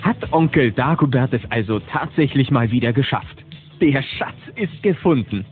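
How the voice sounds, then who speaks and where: Animated male storyteller on old tape. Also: mid-range voice, moderate pace, faint music behind. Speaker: narrator. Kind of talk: scripted dramatic storytelling for children's audio drama. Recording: narrow-band, hissy old cassette recording.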